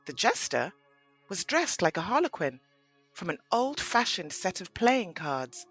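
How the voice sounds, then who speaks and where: Expressive woman narrating a story. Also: fairly low pitch, a little slow, husky, dry recording, faint music behind.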